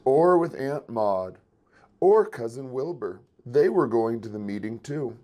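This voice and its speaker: Man reading aloud with very lively pitch. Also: unhurried. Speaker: children's book reader. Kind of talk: expressive read-aloud storytelling.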